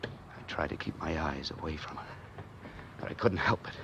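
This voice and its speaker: A man acting a wary, hardboiled man on the run in an old radio noir drama, narrating and talking in terse, deadpan slang. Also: a bass voice, normal speed, very noisy. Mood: uneasy narration. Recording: old time radio recording with music behind.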